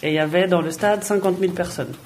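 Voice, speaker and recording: A woman's voice: fairly low pitch, fast, darkish tone, slight background noise. Woman, plain friendly answers. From Switzerland. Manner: plain, friendly, repeats words while thinking. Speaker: footballer guest. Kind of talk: answering children's interview questions.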